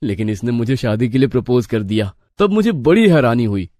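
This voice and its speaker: A man voicing plain-spoken, excitable young village men in a moral story. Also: medium pitch, brisk, dry recording, lightly acted. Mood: subdued, sincere. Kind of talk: animated moral story dialogue.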